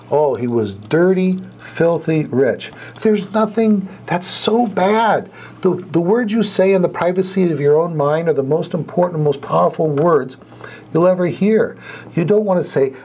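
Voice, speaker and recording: Middle-aged man with a cold, slightly high and husky, earnest folksy instructor who repeats himself. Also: darkish tone. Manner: earnest, folksy and repetitive, with sighs. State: has a cold, clearing throat, sniffly. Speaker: hypnotherapy instructor and podcast host. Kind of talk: casual teaching talk to camera.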